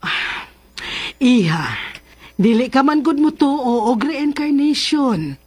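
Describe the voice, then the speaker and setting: A woman's voice: high-pitched, expressive, takes it slowly, no room sound. An actress with a Cebuano accent playing a concerned middle-aged aunt and mother in a radio drama, coaxing and placating. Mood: pleading.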